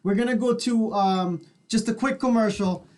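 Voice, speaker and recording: Very high-pitched man, casual co-host. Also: moderate pace, darkish tone, a little hiss or hum. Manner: informal, encouraging. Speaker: radio show co-host. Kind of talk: casual chat.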